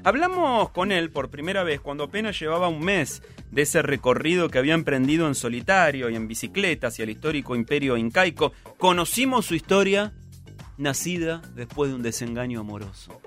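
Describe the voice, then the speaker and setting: A man's voice: a little high, lively intonation, a little fast, fairly bright tone, soft background music. A man with a Rioplatense Spanish accent hosting a radio show, playful and teasing as he reads listener messages. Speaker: radio host. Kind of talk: casual radio chat.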